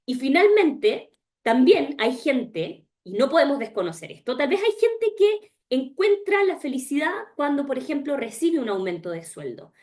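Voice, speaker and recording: A woman's voice: high voice, big pitch swings, moderate pace, darkish tone. Chilean woman presenting a webinar. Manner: engaged, asks rhetorical questions, often tags "¿ya?". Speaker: webinar speaker.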